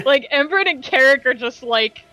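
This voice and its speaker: A woman's voice: high voice, pushed and bright, no echo. A woman role-playing at a tabletop game in casual, playful table talk, on a dull recording.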